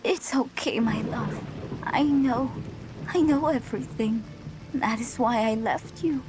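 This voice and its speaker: A woman voicing a gentle, forgiving animal-folk wife in a video game, tender and soothing. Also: a little high, takes it slowly. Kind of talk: dramatic video game voice acting. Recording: loud music and rain behind.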